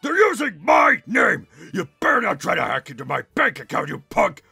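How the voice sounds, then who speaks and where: A boastful cartoon monster king with a raspy, forceful growl. He is hot-tempered and vain, blustering out threats with wildly swinging pitch, recorded dry and close. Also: wildly swooping pitch, moderate pace, no room sound, heavily acted. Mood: furious. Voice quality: gruff, gravelly, raspy. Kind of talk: cartoon fan dub comedy banter.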